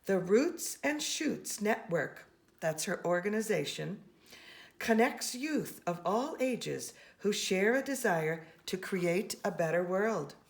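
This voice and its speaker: A woman reading a children's picture book aloud, expressively and with deliberate emphasis on key words. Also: mid-range voice. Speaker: storybook reader.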